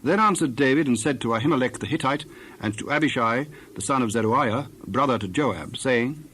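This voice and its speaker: A man narrating a dramatized scripture, reading scripted archaic text in a measured, formal way. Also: medium pitch, a bit bright, a little hiss or hum.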